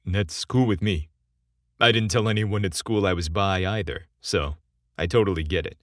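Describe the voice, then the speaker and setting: Man playing a deep-voiced easygoing frat bro. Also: normal speed, no room sound, studio quality, lightly acted. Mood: casual, flirty. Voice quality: deep, sexy tone. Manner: casual bro slang. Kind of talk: audio story character dialogue.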